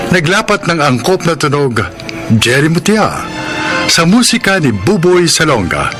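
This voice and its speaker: Filipino man announcing over music. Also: on the high side. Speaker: radio drama announcer. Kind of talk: formal credit reading.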